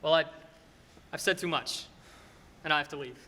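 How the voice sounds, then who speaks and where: Young man as an excitable small-town fellow, bright high voice. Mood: indignant, impassioned. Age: young adult. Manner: halting, stammering, drawn-out folksy delivery. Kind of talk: filmed radio play acting. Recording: echoey hall with long reverb.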